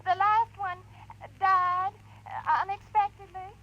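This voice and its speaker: Clingy blonde seductress, very high and coaxing, 1950s radio, slightly noisy. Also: female voice, level tone, takes it slowly, pushed and bright, exaggerated voice. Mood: coy. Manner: gushing, breathy endearments, coaxing. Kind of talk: 1950s radio drama acting. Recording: dull, faintly noisy old radio recording.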